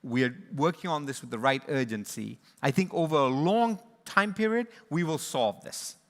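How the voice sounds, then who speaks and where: A man's voice: on the high side, animated, moderate pace, in a large hall. A man moderating a panel and giving closing remarks, earnest and reflective, with frequent restarts. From born in Sri Lanka, raised in East and West Africa. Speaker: panel moderator, conservation charity leader.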